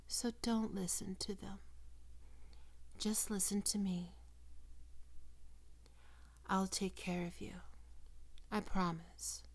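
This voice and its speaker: A woman voicing a possessive, predatory vampire doctor in an ASMR roleplay, breathy and often whispering, coaxing her prey with condescending, sensual words. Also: medium pitch, fairly even intonation, slow, pauses often. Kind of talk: ASMR roleplay, intimate and slow.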